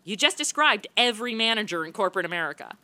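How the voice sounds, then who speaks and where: A woman playing a brash, shameless, sarcastic woman who wisecracks deadpan in a comic radio play. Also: medium pitch, quick pace. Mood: sardonic. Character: brash, shameless, self-absorbed sarcastic woman, Miss Standish.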